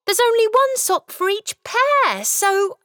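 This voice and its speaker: An eager little boy pipes up, his voice pitched high and loud with excitement, as a woman narrator puts him on. It is a storybook voice, close and dry. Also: slow pace, no room sound, full character voice. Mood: excited.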